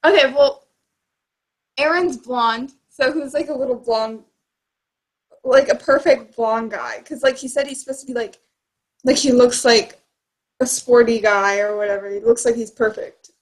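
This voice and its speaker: A woman's voice: fairly high pitch, steady tone, moderate pace, long pauses. A young woman co-hosting a casual, informal chat about books. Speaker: book discussion show co-host.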